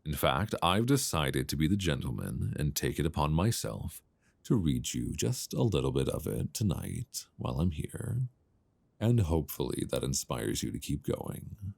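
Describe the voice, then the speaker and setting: A man playing an affectionate, gently teasing long-distance boyfriend in an intimate, unhurried ASMR roleplay voice message, speaking conversationally close to a binaural microphone. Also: very deep voice, big pitch swings, vocal fry, no room sound, full character voice. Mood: playful mock reproach. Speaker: audio roleplay performer.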